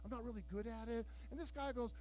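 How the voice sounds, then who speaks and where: A man in his fifties performing a stand-up comedy set, telling loud, bawdy stories and acting out the dialogue. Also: very high-pitched, fairly even intonation, fast, rough recording. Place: live show room with audience.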